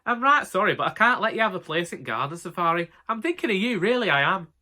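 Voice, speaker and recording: A man's voice: sits high, expressive, fast, pushed and bright, pitched above the performer's own voice, exaggerated voice. A man voicing a brash older woman from Northern England, insincere and pointed, in a comic audiobook. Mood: falsely sympathetic. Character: Janice, brash Northern English older woman, falsely sympathetic gossip.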